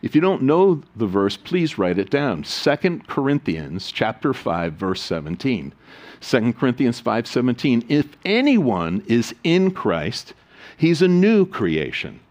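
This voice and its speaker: A man preaching a sermon as pastor, reading scripture solemnly and adding joking, animated asides. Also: mid-range voice, a little slow.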